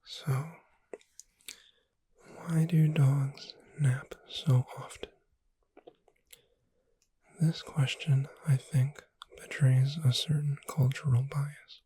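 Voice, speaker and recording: A woman whispering in a soothing, deliberate ASMR style, reading aloud to lull a listener to sleep. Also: extremely low pitch, flat delivery, dark tone, slightly rough, no echo, poor audio. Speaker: ASMR performer.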